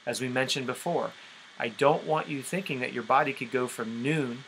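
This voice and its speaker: A man's voice: medium pitch, normal speed, fairly bright tone, a little hiss or hum, poor audio. A man coaching nutrition on a conference call in an emphatic, explanatory way, often checking in with his listeners.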